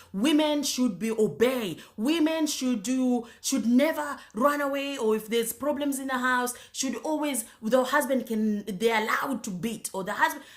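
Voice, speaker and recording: A woman from Zanzibar tells her own story, hesitating often and restarting her sentences. She keeps clearing her throat and coughing between phrases. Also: fairly high pitch. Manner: halting, many fillers and restarts, frequent throat clearing. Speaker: speaker sharing her faith story. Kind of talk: personal testimony.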